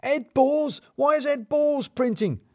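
A man's voice: extremely high pitch, takes it slowly, no room sound, clean studio sound, voice pushed up. A man voicing a jittery, self-important male office manager who hisses and talks melodramatically. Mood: startled. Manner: melodramatic, hissing, manic.

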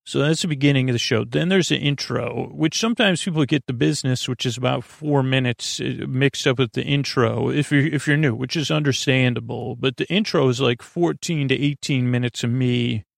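Very creaky-voiced, meandering man. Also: mid-range voice, dry recording, clean studio sound. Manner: meandering, tangential, halting, trailing off mid-sentence; goofy, friendly. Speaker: podcast host. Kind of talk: rambling, soothing sleep podcast monologue.

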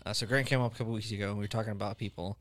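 A man's voice: fairly low pitch, fairly even intonation, racing, a bit bright, no room sound. A man, hosting a casual podcast chat and rambling with many restarts. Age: 20s. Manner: rambling, restarts often, laughs easily. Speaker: podcast host.

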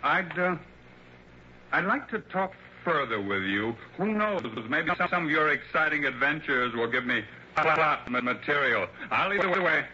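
An actor playing an older, blind, wealthy mystery writer, courteous and talkative with halting hesitations, in a vintage radio drama. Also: male voice, a little high, very animated, bright, forceful tone, heavily acted. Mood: inviting. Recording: muffled, noisy old radio broadcast.

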